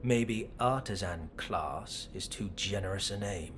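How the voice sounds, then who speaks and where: A man's voice: low-pitched, unhurried. An actor playing a haughty, scornful male scholar in a video game, speaking in a formal, theatrical, disdainful manner. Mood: scornful, mocking. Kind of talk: scripted video game voice acting.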